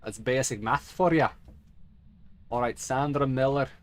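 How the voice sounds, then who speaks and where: A man's voice: medium pitch, a little slow. A man giving rambling, sweary, theatrical video game commentary in a put-on mock dwarf accent. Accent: put-on mock accent he calls a dwarf accent. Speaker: game streamer.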